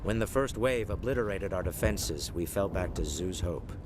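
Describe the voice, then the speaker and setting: An actor playing a weary, dignified colony leader in a video game, speaking in a measured, formal and earnest way. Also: male voice, sits low, animated, noisy background. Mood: weary resolve. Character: weary, dignified, steadfast male leader of besieged space colony. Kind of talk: video game dialogue acting. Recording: game audio with music behind.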